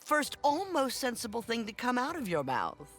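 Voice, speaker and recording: A woman playing a wry older seasoned adventurer in an animated video game, with a dry, teasing delivery. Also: fairly high pitch, normal speed, faint music behind, full character voice.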